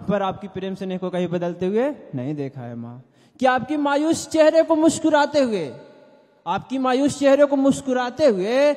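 High-voiced young man reciting poetry. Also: darkish tone, long reverb tail. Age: young adult. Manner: declamatory, repeating refrains with rising and falling emphasis. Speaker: open mic poet. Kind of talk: reciting Hindi poetry on stage. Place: indoor event stage before an audience. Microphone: stand mic.

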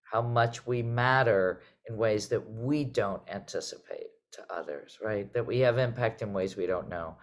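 A middle-aged woman hosting a conversational panel over a video call, musing and emphatic as she circles through her phrasing. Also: very deep voice. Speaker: host and theater artistic director. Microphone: video call microphone.

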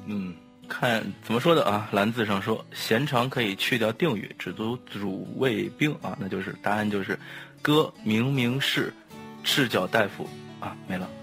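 A man's voice: fairly low pitch, measured pace, fairly bright tone. A man in a casual chat, reading written answers aloud. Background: guitar music.

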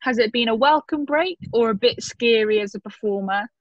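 Female host, slow read-out questions, fairly high voice, dry muffled call audio. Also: dry recording. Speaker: webinar host. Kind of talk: reading out questions on a video call.

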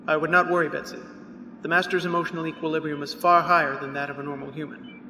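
A man's voice: fairly high pitch, flat delivery, fast, sharp tone. A man playing an unemotional, literal-minded artificial crewman in an audio drama, speaking formally and precisely. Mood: matter-of-fact. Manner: formal, precise, matter-of-fact. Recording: echoey reverberant spaceship ambience noise.

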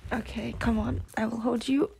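Protective twin sister for ASMR roleplay, played by a woman: sweary, exasperated yet caring, unhurried, fairly high voice. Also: vocal fry, a little mellow, slightly rough, heavily acted. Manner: sweary, sighing, half-muttering. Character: protective, exasperated, foul-mouthed twin sister, tender toward her girlfriend. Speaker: roleplay voice actor.

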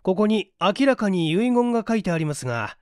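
A man's voice: sits high, sing-song, fairly quick, clear, resonant tone, no echo, clean studio sound, voice pushed up. A man voicing a courteous, inquiring male detective who asks probing questions in an audiobook mystery.

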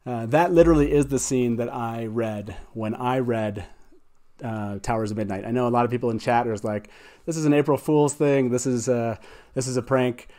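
A man's voice: mid-range voice, moderate pace, mellow. A man, hosting a show and talking casually into a studio microphone. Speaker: show host. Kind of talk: casual talk introducing a reading. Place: small wood-panelled studio. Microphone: close studio microphone on boom arm.

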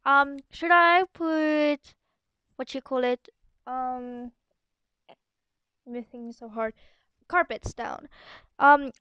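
A woman's voice: high-pitched, fairly even intonation, takes it slowly, pauses often, sharp tone, no echo, muffled recording. A young girl doing a casual, chatty and rambling gaming voiceover as the host of the video. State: sore throat. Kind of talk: casual game commentary voiceover. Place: echoey room at home, voice-over.

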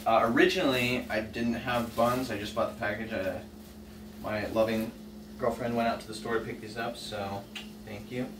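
A man's voice: fairly low pitch, steady tone, moderate pace. A young man in his twenties, chatting casually with lots of fillers as he cooks and tastes food in a home kitchen. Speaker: home cooking vlogger. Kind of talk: casual cooking vlog. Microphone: camera on the counter, across the kitchen. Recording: roomy, distant camera audio with noise.

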